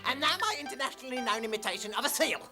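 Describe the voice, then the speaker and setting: A woman's voice: high-pitched, rapid-fire, close to an everyday voice. A woman voicing a cheerful cartoon Viking sailor who announces his imitations in a jaunty, self-important way. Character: dim, cheerful Viking sailor and show-off mimic. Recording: clear music behind the voice.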